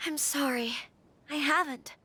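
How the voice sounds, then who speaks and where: An actress playing a gentle, earnest young noblewoman in a video game. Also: sits high, measured pace. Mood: regretful. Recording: game music behind the voice.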